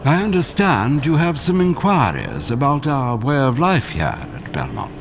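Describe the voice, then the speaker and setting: A man's voice: medium pitch, huge pitch swings, measured pace, creaky, slight background noise, exaggerated voice. A man playing a frightened, secretive middle-aged monk in a dramatic video game cutscene. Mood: indignant.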